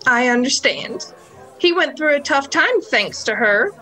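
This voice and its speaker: Woman acting a bright, frustrated nightclub singer. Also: high-pitched, lively intonation, a little slow, heavily acted, poor audio. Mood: weary, resentful. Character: frustrated, struggling young nightclub singer, Angelique. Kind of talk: hobby radio play acting.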